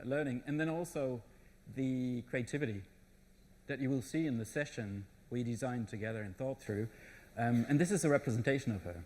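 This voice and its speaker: Middle-aged guy presenting at a conference, mid pitch, chatty and halting, lots of um. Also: a little mellow. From Germany. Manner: conversational, with frequent restarts and fillers. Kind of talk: live conference talk. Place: conference session room.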